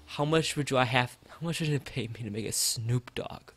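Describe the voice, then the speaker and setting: A man's voice: medium pitch, normal speed, a bit bright. A man live streaming a visual novel, reading its text aloud and drifting into rambling asides to the chat. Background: background game music.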